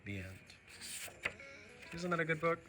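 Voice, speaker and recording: A male teacher reads a storybook for children in a bright, mid-pitched voice. His intonation is very animated and expressive, addressed straight to young listeners. Soft music sits faintly behind him. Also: unhurried. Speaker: teacher reading a picture book to students. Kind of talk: animated children's read-aloud.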